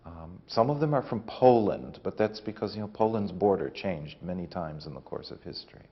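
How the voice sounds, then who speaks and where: A man's voice: low-pitched, expressive, brisk. A man explaining things as an interviewee. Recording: dull audio with faint background noise.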